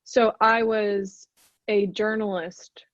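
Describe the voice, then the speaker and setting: A woman from Arkansas talks in a relaxed, chatty way, and a light Arkansas lilt slips into some of her words. Her voice is recorded close and dry, with a slightly muffled, dull tone. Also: medium pitch, slow pace, dry recording. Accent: light Arkansas Southern. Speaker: actor, interview guest. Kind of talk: casual interview chat.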